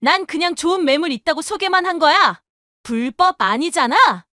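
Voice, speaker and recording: A woman's voice: extremely high pitch, expressive, normal speed, sharp tone, dry recording, clean studio sound, exaggerated voice. A woman playing a brazen, snippy young daughter-in-law who justifies herself with scorn in an audio drama. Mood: indignant, scornful. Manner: curt, snippy, sarcastic.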